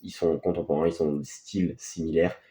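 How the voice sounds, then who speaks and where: A man's voice: rumbling low, flat delivery, a little fast, mellow. A man gives casual, unscripted film commentary as a video host, in a hesitant, rambling, offhand way. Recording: echoey, roomy sound.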